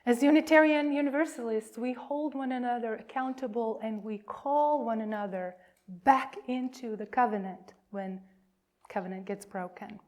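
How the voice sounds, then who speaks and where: A woman minister leading worship, reading reverently in a measured, emphatic way with deliberate pauses. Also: on the high side, darkish tone. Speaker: minister leading a church service.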